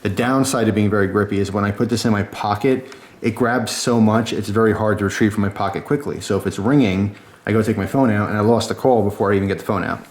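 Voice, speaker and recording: A man with a fairly low voice talks through a product review. He is informal and chatty, sounding enthusiastic as he shares his opinions in a casual style. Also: fairly even intonation, fast talker.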